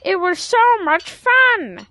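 Woman as a high, childlike, happy young calf. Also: very animated, slow pace, pushed and bright, full character voice. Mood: excited. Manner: naive, enthusiastic. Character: childlike, happy young male cow who thinks he's a duck. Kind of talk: comic audio drama acting.

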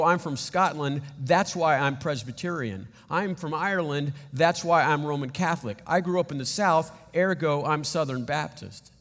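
Emphatic preaching man in a reverberant hall. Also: on the high side. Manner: emphatic, repeats words, animated preaching. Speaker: pastor. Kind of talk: sermon.

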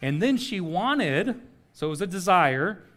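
A man's voice: sits high, big pitch swings, a little slow, a bit bright. A middle-aged man preaching a sermon in a conversational way, often checking in with "okay?". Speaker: pastor.

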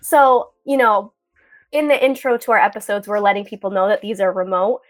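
A woman's voice: mid-range voice, fairly quick, no echo, clean studio sound, soft background music. A woman co-hosting a podcast and interviewing a guest, chatty and upbeat, recording from home over a video call. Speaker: podcast co-host.

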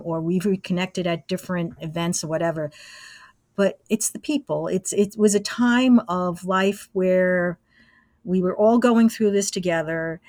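A woman's voice: lowish voice, a little mellow, no room sound, studio quality. An American woman in her sixties from Brooklyn, chatting casually and easygoing as she tells anecdotes as an interview guest. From Brooklyn, New York, USA. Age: 60s. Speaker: interview guest, former college radio engineer. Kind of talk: casual reminiscing.

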